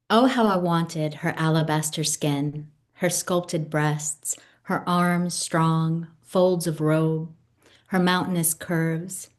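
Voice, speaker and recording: Casting: woman poet in her 50s, Italian as first language, notably low voice, for readings and talk about her work. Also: a little mellow. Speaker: poet, guest. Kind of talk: poetry reading and conversation about own poems.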